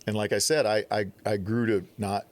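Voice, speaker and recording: A man from the Midwest, telling stories on a podcast in a casual, animated way, acting out quotes. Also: fairly low pitch, moderate pace. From Upper Midwest. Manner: animated storyteller, acts out quotes. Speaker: podcast guest. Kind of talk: casual storytelling.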